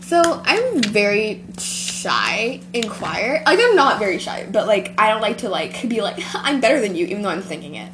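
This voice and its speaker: A woman's voice: medium pitch, very animated, normal speed, hardly any pauses, background noise. A teenage girl hosting a homemade podcast, rambling through a school story with lots of giggling. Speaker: podcast host. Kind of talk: casual chat.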